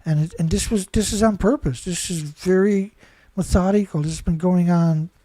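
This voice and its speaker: A man's voice: high-pitched, normal speed, dark tone, dry recording. A man reading news headlines and adding rambling, indignant commentary, often ending with "right?". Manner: rambling, indignant, many restarts and "right?" tags.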